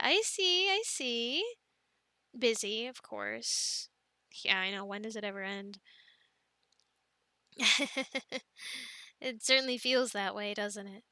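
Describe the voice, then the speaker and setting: Bright-voiced, sweet young girlfriend played by a woman. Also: on the high side, no echo, clean studio sound, exaggerated voice. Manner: giggly, hesitant, chatty with fillers and pauses. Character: devout, affectionate, playful young adult girlfriend. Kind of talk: soft girlfriend roleplay, casual improvised-sounding chat.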